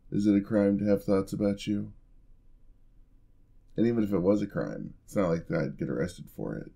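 A man voicing a flirty yandere husband in an ASMR roleplay, smooth-talking and teasing with a playful menacing edge. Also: fairly low pitch, warm tone, full character voice.

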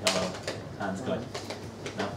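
A middle-aged man hosting a pub crawl, telling jokey stories to a crowd and mimicking people in quick asides. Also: deep voice, completely flat delivery, noisy background. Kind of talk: humorous storytelling to a pub crowd. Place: small crowded pub, indoors. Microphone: far camera, no mic. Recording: roomy pub echo, distant, chatter behind.

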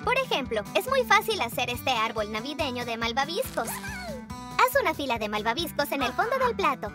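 High, lively instructive woman narrator. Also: moderate pace. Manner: clear, instructive. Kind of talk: scripted cartoon narration. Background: music.

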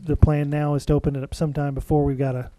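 A man speaking as legal counsel at a public meeting, explaining in a halting, hedging way. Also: a little high, level tone, warm tone, no room sound. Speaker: legal counsel to a city council.

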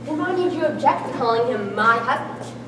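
A woman's voice: sits high, lively intonation, fairly quick, a crowd in the background. A young woman in an amateur stage play, playing a wife's raw, passionate, bitter inner self with emphatic, agitated delivery. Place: large school hall with small audience. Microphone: far camera. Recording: echoey hall, distant camera, loud room noise.

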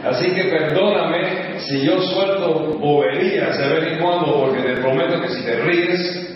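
An older man in his sixties, from the United States, talks to a crowd in a large hall. He jokes and switches between Spanish and English. His voice is a little high and sits far from the mic, with long echoing reverb. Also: unhurried, slight background noise. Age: 60s. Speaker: business speaker. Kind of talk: motivational business talk. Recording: distant, echoey hall recording, dull sound.

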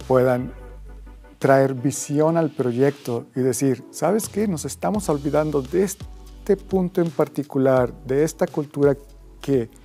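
A man in his fifties answering interview questions about technology in a measured, deliberate way. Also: mid-range voice, vocal fry, mellow. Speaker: tech expert.

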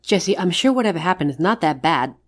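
A woman's voice: fairly low pitch, lively intonation, brisk. A woman playing a caring but exasperated witch in an audio roleplay, talking frankly and coaxingly to a friend. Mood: concerned. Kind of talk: dramatic audio roleplay acting.

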